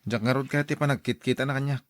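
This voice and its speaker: A man's voice: medium pitch, fairly even intonation, fast, no echo, natural voice. An actor playing a lovestruck young man, an eager and generous suitor, in a radio drama. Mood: reluctant, evasive.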